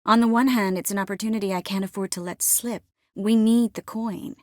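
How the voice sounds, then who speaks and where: A woman's voice: mid-range voice, steady tone, a little fast, darkish tone, dry recording, clean studio sound, lightly acted. A woman voicing a composed, caring young sorceress in a video game, earnest with a wry edge. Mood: serious, determined. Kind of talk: game dialogue acting.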